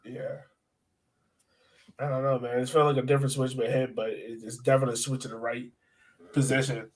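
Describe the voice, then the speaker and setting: A man's voice: medium pitch, level tone, brisk. A man hosting a casual sports podcast, informal and conversational, often posing questions to his co-host.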